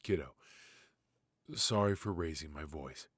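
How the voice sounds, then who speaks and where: A man playing a weary, ruthless yet fatherly mafia boss in an intimate ASMR roleplay, with a low, husky, breathy voice and a wry, sighing delivery. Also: a bit bright, dry recording, clean studio sound, exaggerated voice. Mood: apologetic, anxious. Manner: slow, pausing, sighing, wry.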